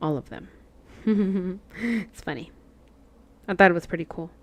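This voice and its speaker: A woman's voice: fairly low pitch, unhurried. A Mexican American woman whose first language is Spanish, chatting casually with her live chat while she stitches and laughing often. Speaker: cross-stitch livestream host.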